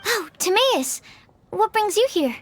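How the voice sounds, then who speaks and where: Very high-voiced, thoughtful young woman. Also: big pitch swings, full character voice. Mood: surprised. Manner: polite, orderly, measured wording. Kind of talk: video game voice acting. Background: faint game music.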